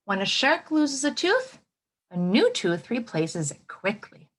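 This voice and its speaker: A woman teacher reading aloud to young children in an expressive way, pausing to add asides and prompt them with questions. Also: a little high. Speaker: elementary school teacher.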